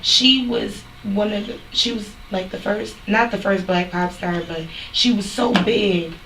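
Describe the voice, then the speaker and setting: A young woman singer, a mother, talking earnestly in a casual interview with a deep speaking voice. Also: steady tone, a little slow, fairly bright tone, recorded from a distance. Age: young adult. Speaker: singer guest. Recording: echoey room, voice off-mic, faint hiss.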